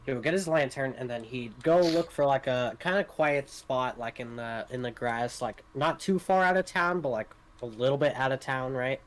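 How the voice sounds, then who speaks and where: A man takes his turn as a tabletop game player, describing his character casually. His medium-pitched voice is tentative and halting as he feels out each phrase. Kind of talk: casual third-person roleplay description.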